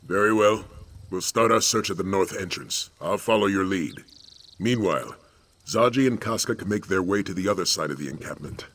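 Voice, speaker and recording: A man voicing a firm, authoritative mission leader in a video game, measured and formal. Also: low voice, fairly bright tone. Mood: composed planning. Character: composed, authoritative male mission leader, patient but firm. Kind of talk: video game character dialogue.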